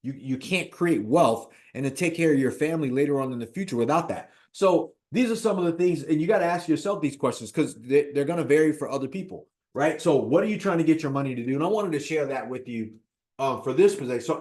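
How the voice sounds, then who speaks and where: A man of fifty talking casually about personal finance as an investor, animated, repeating points and checking in with "right?". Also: fairly high pitch, quick pace. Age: 50s. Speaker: real estate investor.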